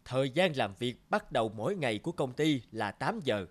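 A man voicing a stout, stern middle-aged company boss in an animated cartoon, speaking in a measured, lecturing way. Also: medium pitch. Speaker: voice actor. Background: background music.

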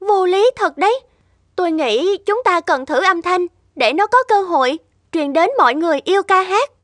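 Earnest high-pitched young cartoon woman. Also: animated, normal speed, a bit bright, no echo. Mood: eager. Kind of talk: animated cartoon dialogue.

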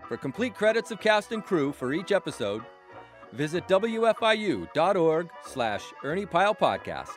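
Lively higher-pitched American male announcer. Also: normal speed, soft background music. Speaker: credits announcer. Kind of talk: scripted podcast credits.

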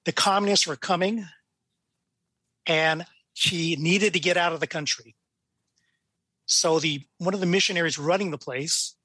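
Higher-pitched older American man, storytelling. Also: bright, forceful tone, slightly rough, dry recording. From California, United States. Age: 60s. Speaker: guest, judge. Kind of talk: casual storytelling in an interview.

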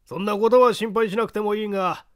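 A man's voice: high-pitched, fairly even intonation, fast, smooth, dry recording, studio quality, voice pushed up, close to an everyday voice. A man voicing a stern male judge who interrogates witnesses in a dramatic audiobook reading. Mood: stern, probing. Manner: commanding, interrogating.

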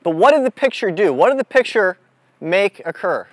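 A man speaking as a mental toughness coach, giving a deliberate, instructive motivational lesson to a group out in the open. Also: high voice, very animated, no room sound. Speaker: mental toughness coach leading a youth clinic. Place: outdoors on an amphitheater lawn.

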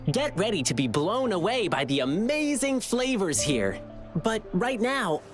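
A man's voice: high-pitched, fairly bright tone. An actor voicing a cheerful, eager young lion-eared boy performer in a video game, friendly and bubbly. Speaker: lion dance troupe performer.

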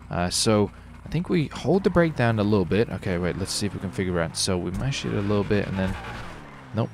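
A man's voice: mid-range voice, a little hiss or hum. A man commentating casually on his gameplay as a streamer, with slangy, sweary talk. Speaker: streamer hosting a gaming video.

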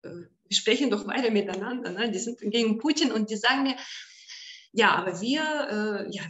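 Woman from Ukraine, panel guest on a video call; hesitant, halting delivery with lots of fillers, recorded in an echoey room. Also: medium pitch, fairly bright tone. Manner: hesitant, many fillers and restarts. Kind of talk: online panel discussion.

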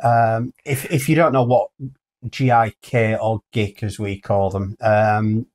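A man's voice: fairly low pitch, fairly even intonation, slow, a little mellow. A British man in his fifties chatting casually as co-host of a news show, recorded in a small room indoors. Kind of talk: casual chat.

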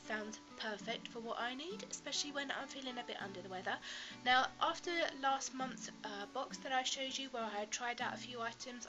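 A woman's voice: a little high, low-quality recording. A woman, bunged up with a cold, chatting through a beauty box review to her webcam in her bedroom in a friendly, rambling way. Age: 20s. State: congested from a cold, stuffy nasal voice. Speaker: beauty vlogger. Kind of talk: casual product review to camera. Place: bedroom, indoors. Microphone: laptop webcam at close range.

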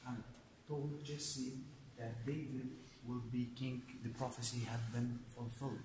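A man explains a holy site in plain, English. His voice sits at an ordinary pitch and rings around a big stone church. The phone recording carries clear background noise. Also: steady tone, unhurried, roomy sound. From Bethlehem. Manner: simple non-native English, explaining steadily. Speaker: local guide. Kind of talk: guided tour explanation. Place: large stone church indoors. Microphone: handheld phone camera.

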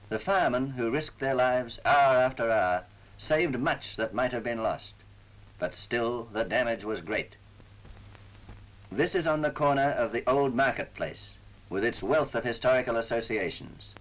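Guy doing old 1940s war newsreel voiceover, formal and solemn, medium pitch, vintage film sound. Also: fairly bright tone, poor audio. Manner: solemn, formal, oratorical phrasing. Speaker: newsreel narrator. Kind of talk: scripted wartime propaganda film narration.

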